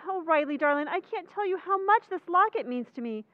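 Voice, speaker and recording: Woman acting high, expressive American radio housewife. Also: a little fast, no echo, narrow, tinny audio. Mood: affectionate. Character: doting but easily exasperated American housewife and mother. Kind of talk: old-time radio comedy acting.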